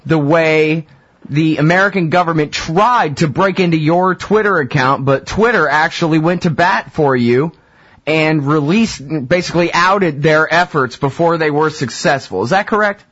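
A man's voice: fairly high pitch, normal speed, fairly bright tone, dry recording. A man hosting a radio interview show, chatting casually and informally with his guest. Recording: dull lo-fi radio audio.

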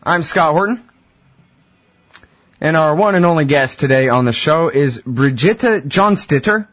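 A man's voice: fairly high pitch, animated, a little slow, fairly bright tone, dry recording, faint music behind. A man hosting a radio interview show, chatting casually and informally with his guest. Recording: dull lo-fi radio audio.

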